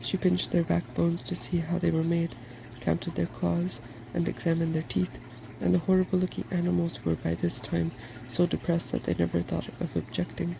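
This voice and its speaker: A soft-spoken man reading a fairy tale aloud in ASMR style, in a gentle, measured storytelling manner. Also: fairly high pitch, completely flat delivery, gravelly, noisy background. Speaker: storybook reader.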